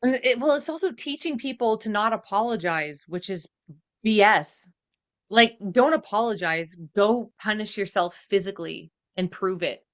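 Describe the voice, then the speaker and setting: A woman's voice: mid-range voice, animated, normal speed, no room sound. Casting: female podcast co-host, mid-range voice, casual, blunt, emphatic commentary. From Canada.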